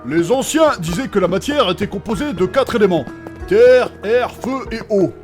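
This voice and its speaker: A man's voice: high voice, lively intonation, normal speed, soft background music. A man playing a cheeky, crude know-it-all amateur chemist in a comedic fantasy audio drama, talking colloquially.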